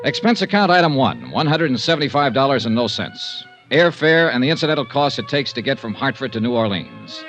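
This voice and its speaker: An actor playing a wry, tough insurance investigator in a clipped, hardboiled 1950s radio detective style. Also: male voice, mid-range voice, big pitch swings, a little fast, hardly any pauses, lightly acted. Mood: wry narrating. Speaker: narrator and lead actor of radio serial. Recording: muffled 1950s radio recording, music behind.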